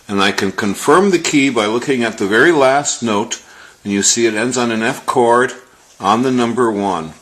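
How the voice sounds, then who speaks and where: A man giving an explanatory music lesson as a teacher, talking step by step in a measured manner. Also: medium pitch, a bit bright, roomy sound.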